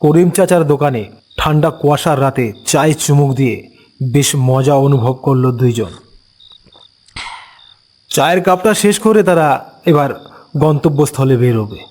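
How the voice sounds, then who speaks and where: Higher-pitched male ghost-story narrator. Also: expressive, moderate pace, long pauses, a little mellow.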